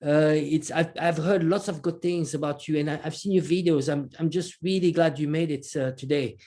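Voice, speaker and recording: Mellow-voiced man hosting an interview. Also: a little high, steady tone, normal speed. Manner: friendly, stumbling with repeated words. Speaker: host.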